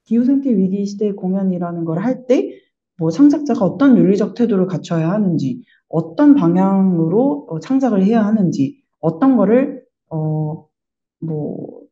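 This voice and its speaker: Woman, very mellow tone, reflective, hesitant phrasing, muffled lo-fi recording, group review discussion. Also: mid-range voice, lively intonation, moderate pace. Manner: hesitant, searching for words, thoughtful. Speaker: member of a performing arts creative team.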